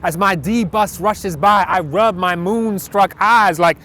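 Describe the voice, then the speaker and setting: A man's voice: sits high, takes it slowly, pushed and bright. A man reciting spoken word poetry in a rhythmic, dramatic, emphatic way. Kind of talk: performed slam poetry recital. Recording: outdoor recording with clear traffic noise.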